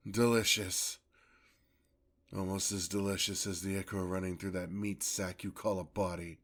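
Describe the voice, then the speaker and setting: A man playing a courtly, hungry vampire lover in an ASMR fantasy roleplay, with formal, theatrical speech and a gravelly voice. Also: low-pitched, little pitch movement, a bit bright. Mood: hungry, menacing, coaxing. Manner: theatrical, formal, drawn-out endearments. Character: courtly, hungry male vampire, tender yet predatory lover.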